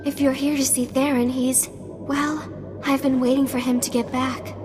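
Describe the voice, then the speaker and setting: A woman's voice: sits high, level tone, moderate pace, lightly acted. A woman playing a flirty, sensitive hologram receptionist in a video game, chatty and gushing with a sassy edge. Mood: sassy, hurt. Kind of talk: cinematic video game dialogue. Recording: hall reverb, faint music behind.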